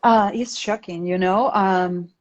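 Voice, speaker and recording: An Argentine woman talks casually about her own work as an interview guest. She speaks slowly and haltingly, feeling for words in English, which is not her first language. The sound is slightly dull, like an online call. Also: medium pitch, steady tone. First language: Spanish.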